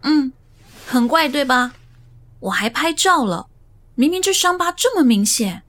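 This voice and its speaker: A woman's voice: sits high, very animated, unhurried, a bit bright, heavily acted. A woman playing an earnest, curious young cafe worker in a Mandarin audio romance drama. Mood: concerned, probing.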